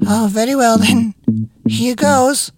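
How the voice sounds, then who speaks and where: A man's voice: extremely high pitch, slow pace, creaky, dry recording, voice pushed up, exaggerated voice. Man putting on a giddy, conspiratorial old grandpa. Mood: nervous excitement. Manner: conspiratorial, whispering and spluttering with excitement. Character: excited, sly old grandfather, giggly and conspiratorial. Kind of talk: children's storybook character reading. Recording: music behind the voice.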